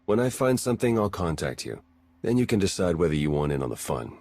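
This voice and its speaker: Husky, low-voiced wry intelligence agent. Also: male voice, very animated, fast talker, darkish tone, full character voice. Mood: probing, determined. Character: wry, suspicious male intelligence agent in space opera. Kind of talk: video game dialogue acting.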